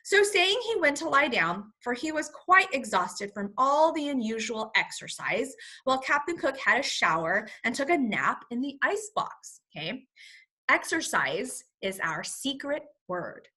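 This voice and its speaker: A woman's voice: sits high, sing-song, a little slow, pushed and bright, slightly rough. A woman teacher reading a story aloud to young children, expressively, with simple word explanations. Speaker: first grade teacher.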